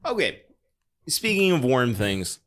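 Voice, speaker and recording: A man hosting a podcast, discussing film casually in a halting, deliberate way with dry understatement. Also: mid-range voice, very animated, fairly bright tone. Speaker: podcast host.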